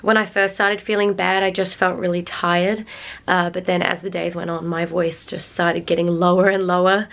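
Singer vlogger in her twenties, low-energy and under the weather, husky stuffed-up voice, casual chat to camera in a bedroom. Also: little pitch movement, fairly bright tone. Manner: slow, low-energy talk. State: sick, congested and husky. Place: small bedroom indoors. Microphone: camera held at arm's length.

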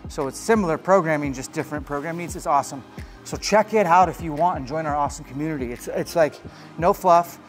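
A man's voice: on the high side, fast talker, faint music behind. A man in his thirties hosting a casual, enthusiastic tour of his home gym, recorded on a lapel mic indoors. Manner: upbeat, plain-spoken, enthusiastic. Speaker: host.

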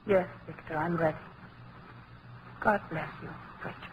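A woman's voice: low-pitched, fairly even intonation, moderate pace, muffled recording, natural voice. An actress playing an anguished, devoted woman in love, speaking emotionally in a 1940s radio drama. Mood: resigned. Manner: pleading, emotional. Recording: noisy old radio drama recording.

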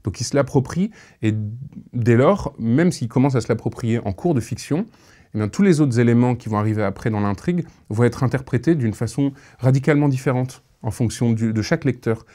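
A man in his thirties speaking reflectively in an interview, halting and thoughtful, on a lapel mic. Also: medium pitch, warm tone. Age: 30s. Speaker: interviewee, audio fiction creator.

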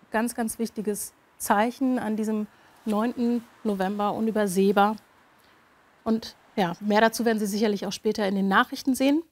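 A woman in her thirties hosting a TV talk show in a studio, interviewing in a conversational way. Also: fairly high pitch. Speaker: TV host, interviewer. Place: TV studio, indoors. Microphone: lapel mic.

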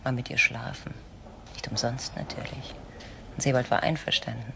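A woman's voice: a bass voice, steady tone, normal speed, gravelly, noisy background, exaggerated voice. An actress playing a guarded, protective woman in a German radio crime drama, speaking insistently and articulately. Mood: grave, insistent. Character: controlling, protective woman shielding a frightened younger friend.

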